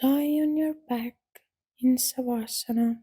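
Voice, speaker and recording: A woman with a soft, breathy voice leads a guided yoga nidra relaxation in a soothing, gentle manner, with long pauses between instructions. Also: sits high, fairly even intonation. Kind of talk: guided relaxation meditation, scripted.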